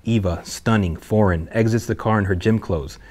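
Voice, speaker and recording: Low-voiced man reading stage directions. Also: moderate pace, a little mellow.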